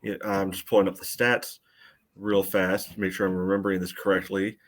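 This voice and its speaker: A man's voice: low voice, level tone, brisk. A man co-hosting a soccer fan podcast, giving casual analysis in a hedging way padded with "you know". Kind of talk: casual sports analysis chat.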